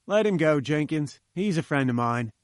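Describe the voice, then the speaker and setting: A man's voice: fairly high pitch, lively intonation, moderate pace, no echo, natural voice. Man voicing breezy young journalist, dry close sound. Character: brisk young male journalist.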